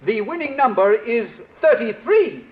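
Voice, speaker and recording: An actor playing a flustered, formally polite auctioneer in a 1940s radio drama, hesitant and apologetic. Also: male voice, very high-pitched, expressive, unhurried. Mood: announcing. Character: flustered, polite auctioneer announcing a raffle winner. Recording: tinny old radio, crowd murmur behind.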